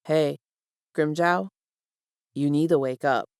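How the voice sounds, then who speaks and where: A woman voicing a gentle young man in a fan fiction reading, speaking softly and carefully with light teasing reassurance. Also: sits low, steady tone, takes it slowly, clean tone, no room sound, clean studio sound. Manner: soft, careful, quiet speech.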